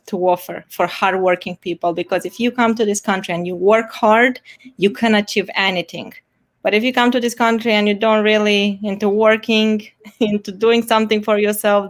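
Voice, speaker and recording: Chatty Moldovan-accented woman. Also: mid-range voice. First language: Romanian. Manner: chatty, earnest, laughs often. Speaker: game show contestant, immigration attorney. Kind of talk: casual self-introduction.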